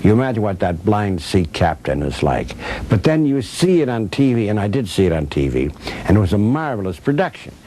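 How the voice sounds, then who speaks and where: Low-voiced older man, emphatic, over background noise. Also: sing-song, a little fast, warm tone. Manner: emphatic, repeats key phrases for effect. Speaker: interviewee. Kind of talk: reflective, conversational interview storytelling. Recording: clear background noise and ambience.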